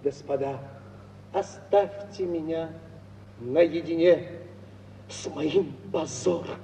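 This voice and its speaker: An actor playing a cynical, self-serving husband with a declamatory, theatrically injured manner in 1950s Russian radio theatre style. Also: male voice, a little high, mellow. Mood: mock-tragic. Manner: declamatory and mock-tragic, turning brisk and dismissive. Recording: old dull hissy radio recording, distant, reverberant.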